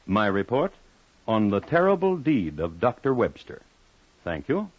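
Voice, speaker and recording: An actor playing a pompous, genteel crime historian, narrating in a measured, formal 1950s radio style. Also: male voice, on the low side, very animated. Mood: portentous. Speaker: host-narrator. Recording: dry, muffled old radio recording.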